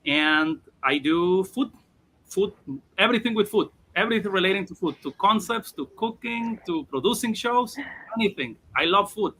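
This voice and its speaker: A man's voice: high-pitched, animated, normal speed, pushed and bright, poor audio. A man, a chef, introducing himself on a live video call in a rambling way, full of restarts. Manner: rambling, with many restarts and fillers. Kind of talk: casual live video chat.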